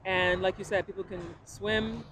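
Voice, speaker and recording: A woman who was born in Ghana and raised in Canada, hosting a casual interview and firing off quick follow-up questions, recorded outdoors at a poolside venue. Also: medium pitch, flat delivery, fairly bright tone, poor audio. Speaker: interviewer, host. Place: outdoor event space by a pool.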